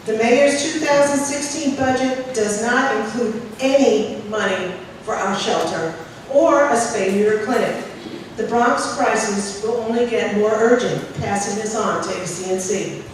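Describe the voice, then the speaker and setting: A woman's voice: on the high side, unhurried. A woman, an animal rescuer, reading a prepared public statement in an earnest, urgent way, recorded in a large meeting hall. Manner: earnest, urgent, pressing with figures. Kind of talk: prepared statement read aloud. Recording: echoey hall from far off, faint noise.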